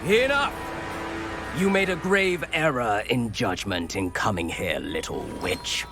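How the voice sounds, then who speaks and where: Nasty centaur boss, pitch jumping all over, sneering, game music under him. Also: male voice, big pitch swings, measured pace. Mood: angry. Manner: scornful, threatening. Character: hostile male centaur herd leader.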